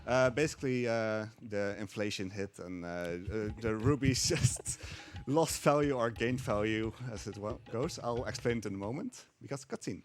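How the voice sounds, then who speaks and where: A man's voice: lowish voice, normal speed, hardly any pauses, a little hiss or hum. A young man giving live commentary while he speedruns a game at a marathon event, talking hesitantly with many fillers.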